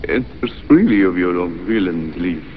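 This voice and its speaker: A man's voice: low-pitched, expressive, moderate pace. A man acting as a courteous, ominous vampire count in gothic horror style, on muffled, noisy old audio. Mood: ominous welcome.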